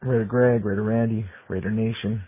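A man's voice: lowish voice, flat delivery, fairly quick, slightly rough, no room sound. A man from Southern California calling a sports show, frustrated and going on at length. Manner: frustrated, talks in long complaints. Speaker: caller. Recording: dry tinny phone line.

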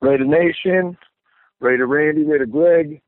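A man calling in to a radio show, loud and eager. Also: a little high, a little slow, dry recording. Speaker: caller. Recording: tinny phone line.

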